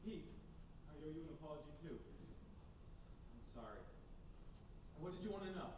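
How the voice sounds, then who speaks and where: A frantic father at the end of his rope, as a man acts him out in a live radio play, lurching from gentle pleading to angry shouting. His voice is high for a man and swoops widely. Heard from far off on a very echoey stage with noise behind it. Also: animated, normal speed, natural voice. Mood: agitated. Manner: shifts from tender to shouting, pleading. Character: desperate, hot-tempered family man, a father. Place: theater stage.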